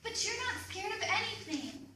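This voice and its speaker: A woman's voice: extremely high pitch, sing-song, moderate pace, voice pushed up. A woman acting a timid young son who seeks his father's reassurance in a stage reading. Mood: timid, pleading. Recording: echoey room, distant mic, faint noise.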